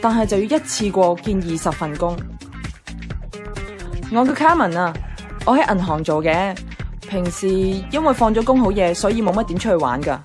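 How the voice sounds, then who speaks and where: A woman's voice: medium pitch, lively intonation, normal speed, long pauses, music in the background, lightly acted. An actress playing a single young office woman narrating her woes in a radio drama, wry and confiding, with a Hong Kong Cantonese accent. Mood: wry, hopeful. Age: young adult.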